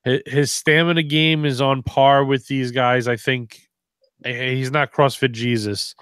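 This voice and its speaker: A man's voice: medium pitch, flat delivery, unhurried, a bit bright, clean tone, dry recording, studio quality. A man co-hosting a podcast in casual, sarcastic, rambling banter with plenty of swearing. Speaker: wrestling podcast co-host.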